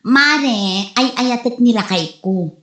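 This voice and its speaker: A woman's voice: fairly high pitch, slow pace, fairly bright tone. A woman acting a dramatic, sentimental female figure with an Ilocano Filipino accent in a comedy drama. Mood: defensive. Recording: roomy echo, faint music.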